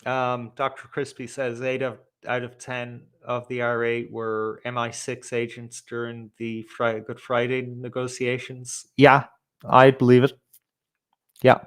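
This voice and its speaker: A man's voice: medium pitch, completely flat delivery, unhurried, clean tone, no room sound. A man hosting a casual livestream, talking in a rambling, sweary way. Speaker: show host.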